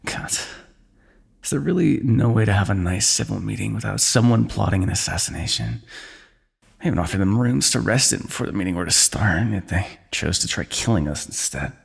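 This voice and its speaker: A man voicing a wry, wounded fantasy ruler who teases an old comrade in a calm, dry way, in an ASMR roleplay. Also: fairly low pitch, a little fast, creaky, breathy edge, exaggerated voice. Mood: dry teasing. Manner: dry, teasing, calm understatement.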